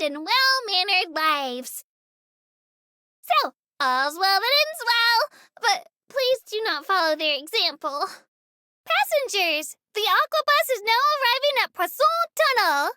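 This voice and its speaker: A woman's voice: very high-pitched, bright, forceful tone, dry recording, studio quality, heavily acted. A woman voicing a cheerful, dutiful little female creature who works as a tour guide in a video game, speaking in a childlike, polite and earnest way. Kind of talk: scripted game character announcements.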